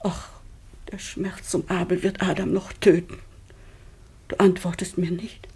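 Emotional mother in old radio drama, husky breathy voice, very theatrical. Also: on the low side, little pitch movement, a little slow, mellow. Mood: anxious grief. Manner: emotive, declamatory. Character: Eve, impassioned mother, tender and anxious. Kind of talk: classic German radio play, theatrical.

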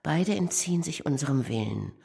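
A woman performing a poetic radio drama recitation in a hushed, contemplative, fragmentary manner. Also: deep voice, expressive, brisk, gravelly, slightly rough. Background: music.

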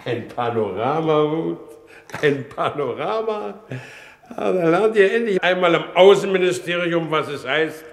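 A man's voice: on the high side, expressive, a little slow. A man playing a blustering, chatty government minister given to exclamations, in a 1950s radio drama. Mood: jovial, impressed. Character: jovial, blustering war minister marvelling at space travel. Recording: roomy, echoing vintage radio studio.

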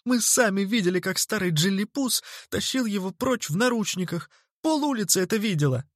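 Blunt storybook girl played by a male narrator, raised pitch, impatient, matter-of-fact. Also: expressive, takes it slowly, no echo, studio quality, full character voice.

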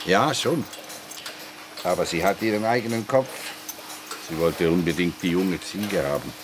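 An actor playing a gruff but calm older retired policeman and grandfather in a radio play, speaking tersely and dryly. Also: sits low, a little slow, background noise. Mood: dry, reserved.

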